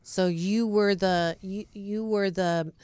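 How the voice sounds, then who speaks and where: A woman hosting a radio interview, chatty and quick to jump in with short prompts. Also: mid-range voice, fairly even intonation, darkish tone, clean tone, no echo, clean studio sound. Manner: chatty, interjects with many mm's. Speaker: radio show host. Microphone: studio mic.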